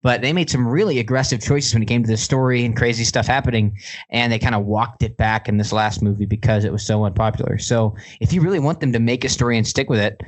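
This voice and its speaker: A man's voice: medium pitch, fast talker. A man co-hosting a podcast, explaining his points in casual film discussion. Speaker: podcast co-host.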